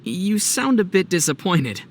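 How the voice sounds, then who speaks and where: A man voicing a young male traveler in a video game, calm in temper, dry and gently teasing. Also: high-pitched, unhurried, heavy background noise, heavily acted. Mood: amused, questioning. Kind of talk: animated video game voice acting.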